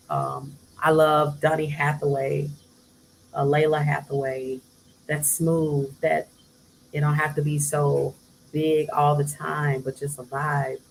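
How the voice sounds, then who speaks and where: A woman who sings, talking casually as an interview guest, soft-spoken with bursts of laughter. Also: a little hiss or hum, poor audio. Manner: soft-spoken, breaks into laughter and high mimicry. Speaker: singer guest.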